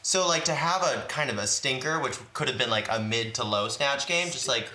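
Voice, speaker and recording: A man's voice: medium pitch, lively intonation, a little fast, pushed and bright, echoey room, a little hiss or hum. A man talking casually in a group interview as a drag queen contestant, with wry, slangy, campy delivery.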